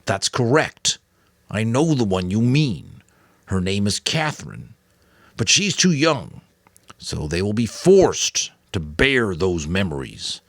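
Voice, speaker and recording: A man's voice: lowish voice, sing-song, a bit bright, no room sound, studio quality, exaggerated voice. A man voicing a weary but firm old mentor, grave, caring and earnest, in a dramatic audiobook reading. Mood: pressing. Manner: earnest, emphatic, deliberate.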